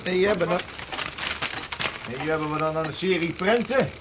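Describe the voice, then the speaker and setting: An actor playing a brisk, bossy and hearty ship's officer in a period radio play. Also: male voice, fairly high pitch, lightly acted. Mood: businesslike. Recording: hissy old radio drama recording.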